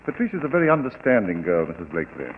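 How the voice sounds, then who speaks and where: A calm doctor who gently draws out his patient in a vintage radio drama. His voice is fairly low and moves freely in pitch. The sound is tinny, with a long echo and faint hiss. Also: rapid-fire. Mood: soothing. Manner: soothing, patient, coaxing. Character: calm, kindly older male doctor. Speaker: physician. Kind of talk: 1940s radio acting. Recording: tinny old radio broadcast, echoey.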